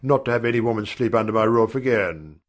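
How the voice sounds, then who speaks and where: A man playing an awkward, piqued gentleman of the house, vague and hesitant, in an audiobook. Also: on the low side, fast, lightly acted. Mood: evasive. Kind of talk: audiobook character dialogue.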